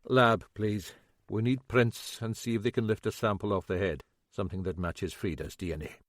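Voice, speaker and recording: A man voicing a shrewd middle-aged Scottish detective inspector with a dry, brisk, commanding manner and a Scottish accent. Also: fairly low pitch, fairly even intonation, no echo, close to an everyday voice. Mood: calm.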